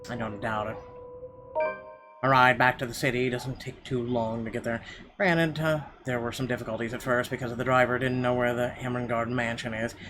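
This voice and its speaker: A man's voice: mid-range voice, steady tone, normal speed. Male game streamer, mid-range voice, reading story text aloud, slight stumbles, guitar game music behind. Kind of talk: let's play, reading narration aloud with asides.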